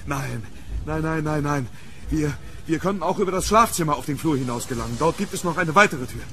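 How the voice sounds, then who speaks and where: A man's voice: a little high, expressive, brisk, a bit bright, slight background noise. An actor playing a panicked, desperate English nobleman in a horror radio drama, with urgent, stammering repetitions. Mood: nervous.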